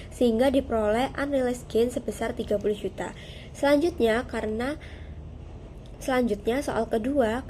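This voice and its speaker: A woman's voice: on the high side, dark tone, slight background noise. A young woman giving a prepared class presentation as a student, explaining step by step in a formal, methodical way. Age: young adult.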